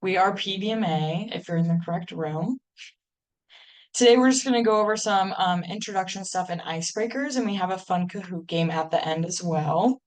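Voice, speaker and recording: A young woman in her twenties, from Ohio, speaks to a student club in a classroom. Her voice sits on the lower side, and she talks in a friendly, informal way with plenty of "um"s. She sounds like a club president running a meeting. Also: normal speed, low-quality recording. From Ohio, USA. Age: 20s. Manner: friendly, informal, uses many "um"s. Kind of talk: welcome talk at a club meeting.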